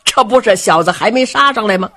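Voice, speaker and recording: Lady storyteller putting on a loud rowdy rebel king guy, booming and brash, husky and swinging all over in pitch. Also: lively intonation, fairly quick. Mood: jovial. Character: boisterous carefree middle-aged male rebel king.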